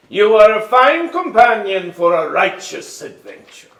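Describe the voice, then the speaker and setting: Man playing a high-voiced, sweeping, kindly storybook king. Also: vocal fry, pushed and bright, heavily acted. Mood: fond, teasing. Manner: formal, earnest, declamatory. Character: kindly, weary king, grand and benevolent. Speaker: actor in a staged musical reading. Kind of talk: theatrical stage acting. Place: indoor hall, live staged reading. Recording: roomy live stage pickup.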